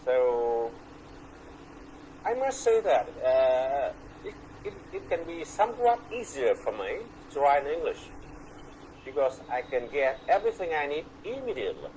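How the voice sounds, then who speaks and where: A man's voice: mid-range voice, big pitch swings, darkish tone, slight background noise, rough recording. A middle-aged man with a Vietnamese accent, a guest poet answering on a video call, hesitant and full of fillers and restarts. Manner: slow, hesitant, many fillers and repeats. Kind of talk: relaxed conversational interview.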